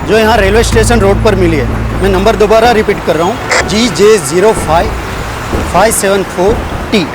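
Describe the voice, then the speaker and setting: Casting: male actor for formal police officer reporting from a very noisy street, high voice, deliberate announcing. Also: close to an everyday voice. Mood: official, formal. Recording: loud outdoor street and traffic noise.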